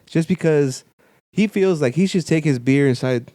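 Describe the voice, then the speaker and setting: A man co-hosting a podcast, telling stories in a profane, animated way. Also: a little high, normal speed, no echo, clean studio sound. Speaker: podcast co-host. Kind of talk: casual chat.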